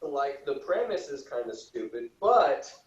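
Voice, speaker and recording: A man's voice: mid-range voice, very animated, unhurried, a crowd in the background. A man giving blunt, dry opinions in a casual group discussion as a review panelist. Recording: distant, roomy, dull recording with faint hiss.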